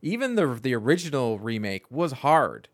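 A man co-hosts a podcast in a casual, opinionated way. His pitch is average for a man. The recording is dry, with no room sound around the voice. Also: big pitch swings, normal speed, no room sound. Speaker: podcast co-host.

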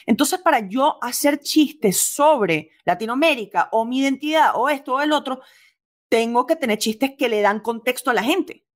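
A woman from Venezuela with a Venezuelan accent, a comedian chatting casually in an interview, lively and laughing often, slipping English phrases into her talk. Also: sits high, moderate pace. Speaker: stand-up comedian, guest.

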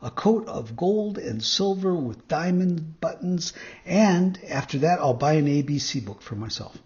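A man's voice: a little high, lively intonation, measured pace, darkish tone, lo-fi sound. A man voicing an earnest, naive young boy marionette in a storybook reading. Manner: eager, indignant, innocent.

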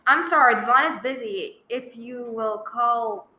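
Woman doing formal phone operator lady for a radio play, bright highish voice, polite but no help. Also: measured pace. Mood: formal, detached. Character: unhelpful, formal female telephone switchboard operator. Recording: roomy, noisy live recording.

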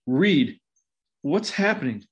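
A bewildered, hungry zombie , acted by a woman, in a comic ad skit. The voice sits low and swings widely as it groans and complains. The sound is dull and muffled. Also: very animated, slow pace, breathy edge, full character voice. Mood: confused, hungry.

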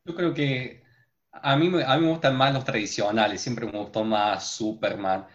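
A man chatting with friends. He tells his story in an animated way, piling up one example after another. The sound is dull and lo-fi, as if from a cheap mic. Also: mid-range voice, moderate pace. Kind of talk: casual podcast chat. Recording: muffled lo-fi mic.

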